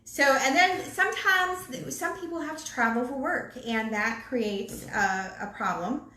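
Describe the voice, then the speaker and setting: おしゃべりな女性の会話、響く部屋. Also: female voice, sits high, bright, forceful tone. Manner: chatty, quick, self-described chatterbox. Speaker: ministry co-host. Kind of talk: casual marriage talk. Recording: echoey room, faint background noise.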